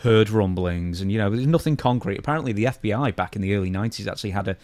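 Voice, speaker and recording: A British man with a fairly low voice talks as a podcast co-host. His delivery is casual, blunt and slangy as he moves through stories, and the sound is dry and close. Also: rapid-fire, a little mellow, dry recording. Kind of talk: casual podcast chat, reading out news stories.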